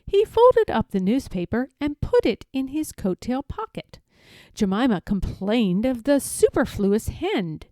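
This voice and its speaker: A woman narrating a children's story from a script, expressive and careful in her phrasing. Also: fairly high pitch, measured pace, dry recording. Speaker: storybook reader.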